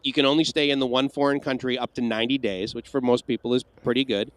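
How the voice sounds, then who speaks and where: A man's voice: medium pitch, fairly even intonation, fast talker, fairly bright tone, dry recording. A man co-hosting a livestream Q&A, chatting casually and rambling with asides and restarts. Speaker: livestream co-host. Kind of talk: casual Q&A chat.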